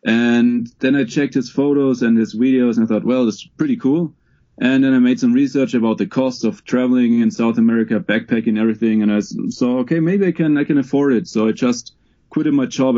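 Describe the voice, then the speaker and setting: Man, mellow voice, dull lo-fi line, relaxed guest. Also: mid-range voice. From Germany. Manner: relaxed, with many fillers and restarts. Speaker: interview guest. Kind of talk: casual conversational interview.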